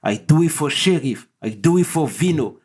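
A man's voice: fairly high pitch, lively intonation, normal speed, darkish tone, husky. A man hosting a talk show in an upbeat way, slipping English phrases into his speech. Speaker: host.

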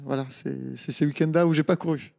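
Hesitant mellow man in a reverberant space. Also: a little high, fairly even intonation, vocal fry. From Italy. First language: Italian. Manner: hesitant, halting phrasing. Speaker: interview guest, ultra-trail runner. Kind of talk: casual interview answers.

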